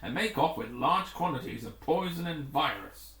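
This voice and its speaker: A man reading the part of a grim, authoritative rescue commander in a storybook, formal and deliberate. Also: a little high, animated, fairly bright tone, recorded from a distance. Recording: roomy, echoing room.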